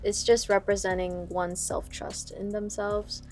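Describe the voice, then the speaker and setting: A young woman from Vietnam, a singer talking about her music in a casual interview, with a relaxed, hesitant way of speaking full of fillers. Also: mid-range voice, measured pace. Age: young adult. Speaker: singer guest.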